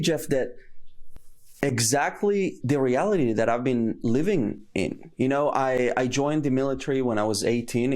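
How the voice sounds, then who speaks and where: A man's voice: medium pitch, darkish tone. A young man from Israel, a guest in an interview, talking earnestly with frequent fillers and restarts. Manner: earnest, hesitant with many fillers. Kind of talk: conversational interview.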